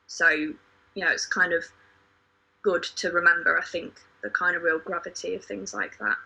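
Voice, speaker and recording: A woman in a reflective discussion, speaking earnestly and sombrely with soft hedges. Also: on the low side, fairly even intonation, normal speed, gravelly, husky, poor audio. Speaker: podcast panellist.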